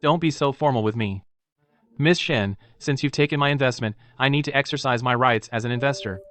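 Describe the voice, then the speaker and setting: A man's voice: medium pitch, a little fast, fairly bright tone, natural voice. A man playing a protective, bossy company president in a romance audio drama, speaking firmly. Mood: caring, assured. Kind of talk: dubbed romance drama acting.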